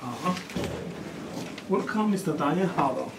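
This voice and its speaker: A man's voice: fairly high pitch, lively intonation, unhurried, vocal fry. A man in his thirties making brief, casual interjections as a companion in someone else's handheld vlog. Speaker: vlogger's travel companion. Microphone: handheld camera held by another person. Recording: noisy, echoey, off-camera handheld audio.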